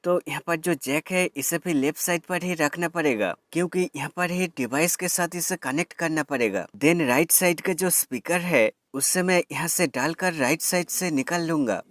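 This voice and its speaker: A man's voice: a little high, normal speed, few pauses, a bit bright, no room sound, soft background music. A man narrating a step-by-step product tutorial in an instructional way, addressing viewers as friends and mixing in English words. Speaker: tech reviewer giving an installation tutorial.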